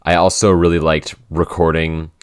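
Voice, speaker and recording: A man's voice: rumbling low, flat delivery, normal speed, clean tone. A man co-hosting a podcast in casual chat, rambling and saying "like" a lot. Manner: rambling, heavy use of "like". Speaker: podcast co-host, show writer.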